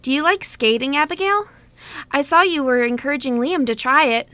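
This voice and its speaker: A woman acts a friendly, chatty sister in a radio play. Her voice is high and bright, recorded close and dry. She talks eagerly and with tact. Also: expressive, normal speed, no echo. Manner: eager, talkative. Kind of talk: amateur radio play acting.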